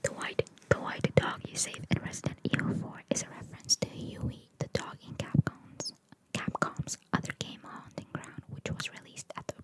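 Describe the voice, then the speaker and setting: A woman whispering in a breathy, unvoiced voice in an informal, chatty ASMR style, reading and commenting casually. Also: rough recording. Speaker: ASMR creator.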